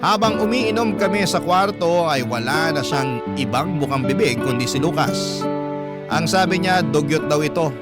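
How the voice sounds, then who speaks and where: A man's voice: fairly high pitch, animated, moderate pace. A man with a Filipino accent telling a story as narrator, in the style of classic radio programs. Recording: loud guitar music under voice. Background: plucked guitar music.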